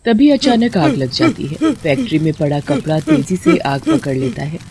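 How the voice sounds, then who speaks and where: A woman's voice: medium pitch, big pitch swings, normal speed, warm tone, slight background noise. A woman reading a scripted story as narrator.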